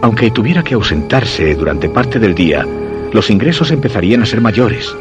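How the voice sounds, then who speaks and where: An actor playing an ailing, suspicious husband who narrates his macabre tale in a Spanish radio drama, measured and literary, with a Castilian accent. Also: fairly low pitch, big pitch swings, music in the background. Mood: brooding, uneasy. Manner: measured, literary, brooding delivery.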